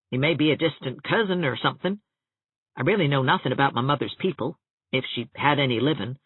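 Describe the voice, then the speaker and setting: A woman's voice: extremely low pitch, breathy edge, dry recording, phone-quality sound, exaggerated voice. A woman voicing a cheerful, teasing, self-mocking young man in a storybook reading. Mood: friendly, easygoing.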